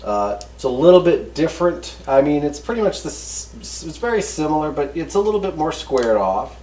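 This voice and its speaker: A man's voice: a little high, sing-song, a little fast, mellow. Folksy man rambling in an echoey space. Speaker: host of a product review channel. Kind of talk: casual hands-on product review monologue. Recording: roomy echo, distant mic, background noise.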